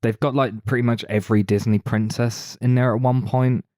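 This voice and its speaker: A man's voice: medium pitch, little pitch movement, normal speed, a little mellow, no room sound, studio quality. A man co-hosting a film podcast, talking casually as he reviews movies.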